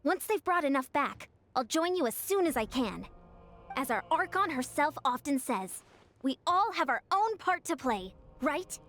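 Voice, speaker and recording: A high, swooping young heroine of a video game, eager and friendly. She speaks with emphatic energy, like a team strategist rallying her friends, with music playing underneath. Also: very animated, a little slow, a bit bright, heavily acted. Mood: earnest.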